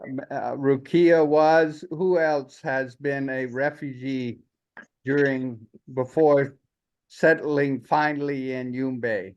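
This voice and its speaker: A man's voice: mid-range voice, steady tone, takes it slowly. A man informally putting questions to a club meeting over a dull online connection. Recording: dull lo-fi call audio.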